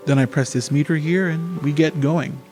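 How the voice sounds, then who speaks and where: A man playing a gentle, reassuring young taxi driver in an amateur radio drama, speaking haltingly. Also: a little high, fairly even intonation, close to an everyday voice. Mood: easygoing. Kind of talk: amateur radio theatre acting. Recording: faint music behind the voice.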